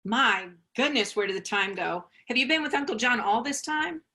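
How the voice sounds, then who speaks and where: Woman acting high, flustered widowed mother. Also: expressive, moderate pace, pushed and bright. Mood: flustered, apologetic. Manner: hesitant, repeating herself. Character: flustered, apologetic widowed mother, absent-minded and welcoming. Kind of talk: virtual staged reading of a play.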